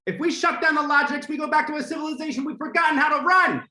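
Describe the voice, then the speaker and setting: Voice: sits high, fast talker, bright, forceful tone. An actor playing a blustering company boss, a married man, in old-time radio drama style, in a roomy space. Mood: dismissive, emphatic.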